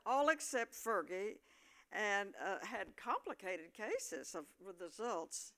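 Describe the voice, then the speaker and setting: A woman's voice: mid-range voice, sing-song, slow, bright, forceful tone. A woman reading scripted narration for a staged play.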